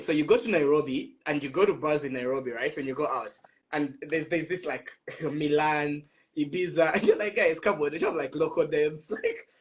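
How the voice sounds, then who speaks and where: A man hosting a casual online chat, speaking informally with playful, teasing banter. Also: on the high side. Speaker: host of an online panel chat.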